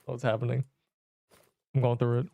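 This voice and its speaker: Rambling young Canadian streamer. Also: male voice, medium pitch, flat delivery, moderate pace, vocal fry, warm tone, slight background noise. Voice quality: rambling, self-interrupting chatter. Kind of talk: casual live-stream chat while gaming.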